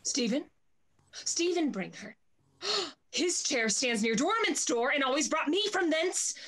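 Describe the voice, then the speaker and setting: A woman acts out a jealous Restoration lady with a high voice and lively swings of pitch. She is haughty and indignant, playing it theatrically as in a stage comedy. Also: unhurried, full character voice. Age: young adult. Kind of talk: Restoration comedy stage acting over video call. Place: small room at home. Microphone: video call.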